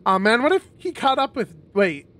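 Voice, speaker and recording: A man reading a visual novel aloud on a stream in an expressive narrating style. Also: extremely high pitch, normal speed.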